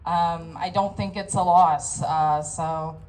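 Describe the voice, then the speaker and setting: A woman's voice: lowish voice, fairly even intonation, takes it slowly, a little mellow, slight background noise. A young woman, a small business owner, giving a nervous, earnest comment at a town hall with many fillers. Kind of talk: public comment at a rally.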